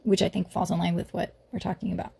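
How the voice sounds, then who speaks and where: A woman with a low, gravelly, slightly husky voice, hosting a casual faith chat. She calmly steers the talk and gently prompts her co-host. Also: quick pace. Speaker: podcast host.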